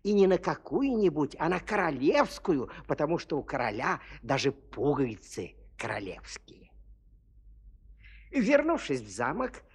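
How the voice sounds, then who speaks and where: Animated, high-pitched husky male storyteller. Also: measured pace. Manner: confiding, playful storytelling, addressing listeners. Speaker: narrator. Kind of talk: expressive children's fairy-tale narration.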